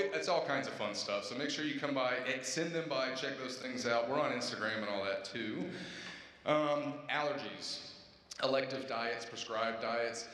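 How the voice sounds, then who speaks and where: A man's voice: fairly low pitch, bright, forceful tone, slight background noise. Guy on a mic in a big echoey auditorium, talking to parents, chatty and upbeat, lots of ums. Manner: upbeat, chatty, many fillers and restarts. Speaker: university dining director. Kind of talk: informal speech to parents at orientation. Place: large auditorium stage. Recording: echoey hall with long reverb.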